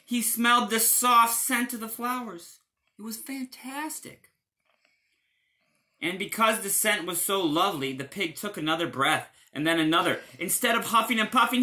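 A man, reading a children's storybook aloud in an animated style. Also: sits high. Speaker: storybook reader.

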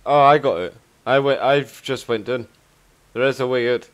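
A man's voice: mid-range voice, fairly even intonation, normal speed. A man with a Scottish accent giving casual, sweary, rambling commentary as he plays a video game. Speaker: gaming video creator.

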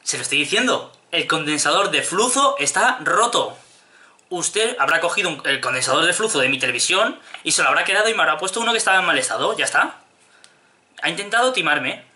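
A man's voice: fairly high pitch, expressive, a little fast, bright, forceful tone. A man in his twenties with a Spanish accent from Spain, making a prank phone call with insistent, straight-faced complaints. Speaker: prank caller. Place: small home room.

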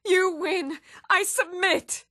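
High-pitched, fierce warrior woman. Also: fairly even intonation, takes it slowly, exaggerated voice. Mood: defeated. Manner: shouted taunts, grunts and threats. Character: fierce, taunting female warrior in battle. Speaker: video game voice actor. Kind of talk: fantasy game combat barks.

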